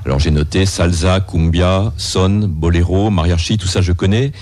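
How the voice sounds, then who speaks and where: Deep-voiced older man hosting radio. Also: fairly even intonation, moderate pace, darkish tone. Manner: hesitant, many restarts and fillers. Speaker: radio host. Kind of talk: radio interview.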